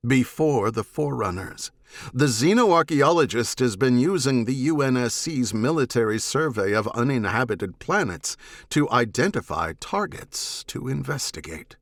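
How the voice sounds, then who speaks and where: A man voicing a cool, evasive alien oath-bound hunter with a measured, formal manner in a science fiction audiobook. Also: mid-range voice, very animated, no echo, studio quality.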